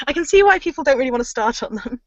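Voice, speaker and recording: A woman's voice: high-pitched, expressive, brisk, darkish tone, no room sound. A woman talking playfully and informally about books on a live panel. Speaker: book reviewer, live show panelist. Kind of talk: casual book discussion chat. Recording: dull lo-fi video-call audio.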